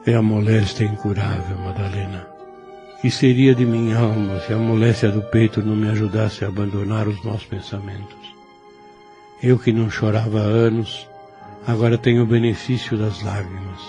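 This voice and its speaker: An actor playing a gentle, devout, dying old priest who speaks slowly and tenderly in an audio novel. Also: male voice, lowish voice, lightly acted. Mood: resigned, weary. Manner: slow, halting, tender speech. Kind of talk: religious audio novel acting.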